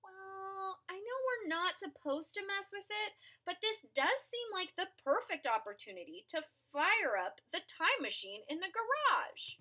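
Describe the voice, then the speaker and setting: Casting: young adult female children's storyteller, slow-paced with big swoops in pitch, expressive and playful. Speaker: storyteller reading a picture book aloud. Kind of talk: animated children's read-aloud. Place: small furnished room at home.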